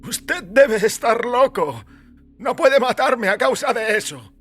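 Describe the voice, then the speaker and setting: Audio drama clerk, acted by a man: scared, pleading at gunpoint, high and husky. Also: animated, slow, a bit bright, faint music behind, full character voice. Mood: panicked disbelief. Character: frightened male shop clerk pleading at gunpoint. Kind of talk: audio fiction acting.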